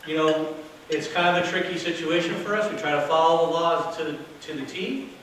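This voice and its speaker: A man giving a loud, casual workshop talk to an audience as a hotel sales director, with no microphone. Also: fairly high pitch, brisk. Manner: casual, chatty, with fillers. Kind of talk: workshop presentation to a live audience. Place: meeting room with an audience. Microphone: distant camera, no microphone. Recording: very echoey room from far off with noise.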